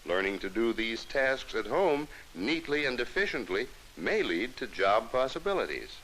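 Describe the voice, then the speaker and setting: A middle-aged American man narrating a scripted 1960s educational film in a measured, formal, instructive manner, on an old film soundtrack. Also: mid-range voice, big pitch swings, a bit bright, slight background noise, rough recording.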